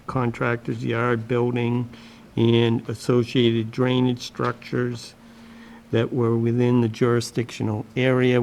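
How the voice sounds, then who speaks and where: Slow, even-toned man chairing a meeting. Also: medium pitch, slight background noise. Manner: slow, hesitant with many fillers. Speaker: commission chairman. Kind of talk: public meeting. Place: town meeting room.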